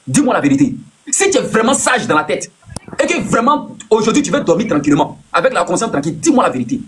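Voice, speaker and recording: A man presses his point in a heated live debate, repeating himself. His high voice swings up and down as he talks fast. A faint hiss sits behind him. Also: sing-song, fairly bright tone. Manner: insistent, repeats words, cuts in. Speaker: live stream host.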